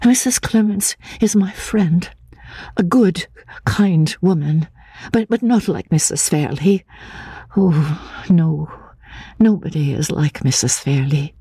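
A woman voicing a timid, confused, childlike young woman, hesitant and breathy, repeating herself anxiously in a classic audiobook. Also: fairly low pitch, takes it slowly, dark tone, no echo, clean studio sound, pitched above the performer's own voice, exaggerated voice. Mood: wistful. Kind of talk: dramatic audiobook reading of classic literature.

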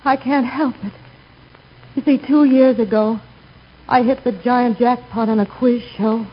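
Woman playing a high-voiced, dramatic weary traveler. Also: fairly even intonation, a little slow, natural voice. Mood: plaintive. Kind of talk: 1940s radio comedy acting. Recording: muffled 1940s radio recording, crowd noise.

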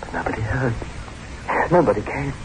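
An actor playing a fearful, trapped older husband in a theatrical, halting 1960s radio horror style. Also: male voice, medium pitch, lightly acted. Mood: haunted dread. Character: trapped, panicking older husband narrating his ordeal. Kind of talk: 1960s radio horror acting, first-person narration. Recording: very noisy old 1960s radio recording.

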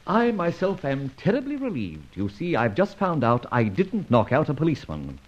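A mocking, self-assured gentleman adventurer in a vintage radio drama. His husky voice swings widely in pitch with urbane, theatrical flair. The old recording is dull and boxed in. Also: huge pitch swings, normal speed. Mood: smug, commanding.

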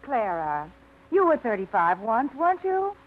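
A wry, restless thirtysomething bookseller. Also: female voice, fairly high pitch, big pitch swings, measured pace. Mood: wistful. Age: 30s. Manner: wry, spirited. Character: restless single woman of thirty-five running a lending library. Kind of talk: 1950s radio acting. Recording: tinny old radio broadcast.

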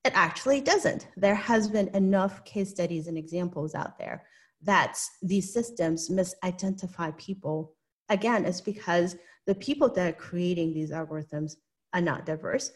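A woman's voice: on the low side, moderate pace. A woman from Hong Kong with a Hong Kong English accent, a guest giving earnest, explanatory answers in an interview and often checking in with "right?".

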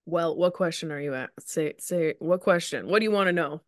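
A woman's voice: on the low side, brisk, no echo, studio quality. A woman in her forties, a podcast co-host and Chinese medicine practitioner, explaining ideas in a patient, reassuring, conversational way.

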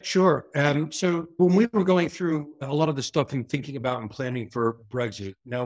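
New York-accented American man. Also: mid-range voice. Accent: American, New York area. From New York area, USA. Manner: fluent, explanatory, businesslike. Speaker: port executive. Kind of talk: interview answers.

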